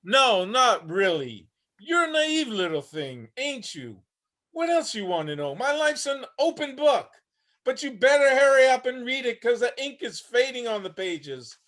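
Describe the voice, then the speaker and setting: Guy doing a wry streetwise old homeless vet, pretty high voice, really up and down, tired but blunt. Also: big pitch swings, measured pace, fairly bright tone, exaggerated voice. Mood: wry, bitter. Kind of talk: staged reading of a play. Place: remote video-call reading.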